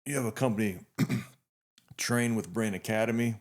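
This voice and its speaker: A man in his fifties hosting a casual podcast interview, talking in a relaxed, rambling way with frequent restarts. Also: lowish voice, measured pace. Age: 50s. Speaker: podcast host.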